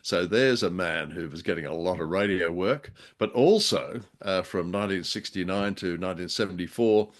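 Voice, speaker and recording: A man co-hosting a conversational radio drama review, speaking in a measured, slightly hesitant and mildly wry way. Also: low-pitched. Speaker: co-host.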